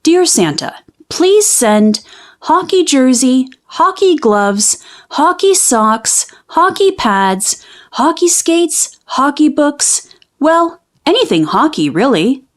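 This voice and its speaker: An eager young storybook boy, acted out by a woman reader. The voice is high and swings brightly from wish to wish, pleading and emphatic. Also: very animated, slow, full character voice. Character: eager young boy wishing for hockey gear.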